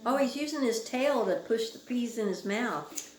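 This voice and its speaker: A woman's voice: a little high, animated, moderate pace. A woman, a mother, reading along casually with her young child and asking simple, prompting questions. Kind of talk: casual read-along chat with a small child. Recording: roomy, noisy amateur home recording.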